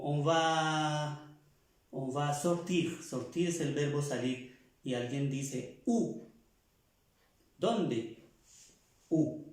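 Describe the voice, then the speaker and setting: A man from Latin America with a somewhat high voice speaks very slowly, walking learners through pronunciation rules. He repeats each point and stops to correct himself, and his voice rings in a very echoey room. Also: darkish tone. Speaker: French teacher. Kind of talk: explaining pronunciation lesson.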